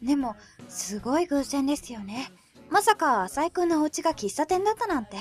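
High, lively, polite schoolgirl. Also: a little fast, faint music behind. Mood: polite, chatty. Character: polite, chatty high school girl cafe customer. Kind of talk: Japanese voice drama acting.

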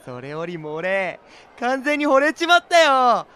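A man playing a chatty, cheeky, lovestruck high school boy in a youth comedy audio drama. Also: a little slow, clean tone, exaggerated voice. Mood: excited, smitten. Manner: animated, casual slangy talk. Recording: noisy ambience behind.

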